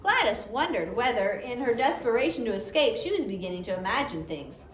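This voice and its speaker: A woman's voice: fairly high pitch, big pitch swings, fairly quick. A woman narrating a story aloud as an audiobook reader. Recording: roomy echo, faint background noise.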